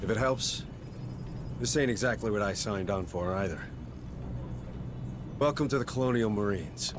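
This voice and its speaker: Man acting a gruff American Marine sergeant, noisy backdrop. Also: on the low side, moderate pace, close to an everyday voice. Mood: wry, steady. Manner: plain-spoken, folksy, blunt. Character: gruff, pragmatic male Marine sergeant in command. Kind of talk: dramatic video game dialogue.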